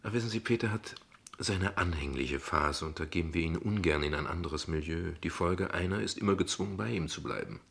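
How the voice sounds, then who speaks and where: An evasive father in a radio drama, with a very deep voice. His tone is husky, and he talks in wordy, ironic turns with small hesitations, always deflecting. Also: a little fast, fairly bright tone, heavily acted. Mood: guarded irony. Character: evasive, ironic, verbose father defending his unconventional family. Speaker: actor in a radio play. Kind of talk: dialogue radio play acting in standard German.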